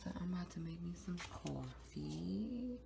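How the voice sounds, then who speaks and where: An American woman with a scratchy, raspy morning voice, chatting casually to her vlog viewers in a folksy, repetitive way. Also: lowish voice, lively intonation, unhurried. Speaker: vlogger.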